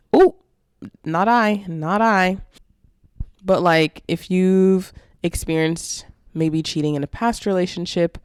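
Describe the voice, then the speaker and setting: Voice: medium pitch, creaky, smooth, no room sound, studio quality. A young adult podcast host talking alone in a casual, reflective way, a bit congested and nasal, with lots of "like" and "you know". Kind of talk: solo podcast monologue.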